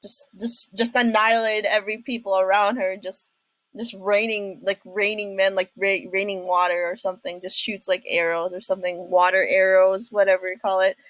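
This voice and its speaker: A woman hosting a reaction video with casual commentary, speaking haltingly and often repeating her phrases. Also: medium pitch, level tone, no echo, rough recording. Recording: music behind the voice.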